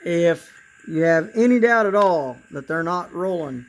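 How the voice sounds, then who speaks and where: A man with a raspy voice demonstrating his model trains in a casual, halting way, with many fillers and repeated words. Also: on the high side, expressive, slight background noise, low-quality recording. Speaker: model railroad hobbyist.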